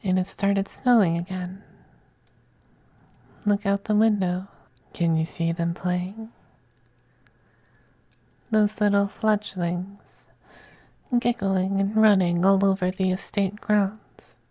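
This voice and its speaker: A man playing a possessive, teasing femboy vampire lover in an ASMR roleplay, speaking in soft, languid, condescending half-whispers. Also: high voice, fairly even intonation, slow pace, pauses often, no room sound. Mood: wistful.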